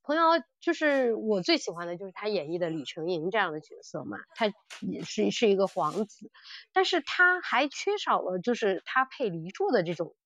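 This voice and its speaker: A woman's voice: mid-range voice, sing-song, normal speed, a bit bright, dry recording. A woman co-hosting a podcast, chatting casually and enthusiastically about audio dramas as a fan. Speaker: podcast co-host.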